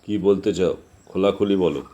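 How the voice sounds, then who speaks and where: A man playing a stern, authoritative village landowner in a horror audio drama, speaking in a firm, measured way. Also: sits low, dark tone, lightly acted. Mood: stern, indignant.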